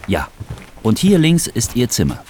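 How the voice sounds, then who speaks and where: A man playing a polite, attentive hotel receptionist in a scripted language-course dialogue, courteous and clearly enunciated. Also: mid-range voice, huge pitch swings, measured pace, a little mellow, a little hiss or hum. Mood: polite, guiding.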